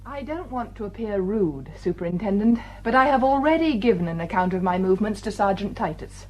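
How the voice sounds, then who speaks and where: A guarded female village doctor played by an actress in a radio murder mystery. Her husky voice moves sharply up and down, and her manner is brisk, clipped and defensive. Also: mid-range voice, expressive, close to an everyday voice, poor audio. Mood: impatient. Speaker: actor. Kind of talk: radio drama acting.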